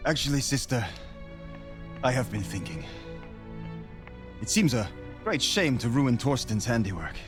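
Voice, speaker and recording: An actor playing a young, vengeful Viking warrior in a game cutscene, given to shouted battle threats. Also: male voice, medium pitch, big pitch swings, a little slow, long pauses, over music. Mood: gentle. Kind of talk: video game cutscene acting.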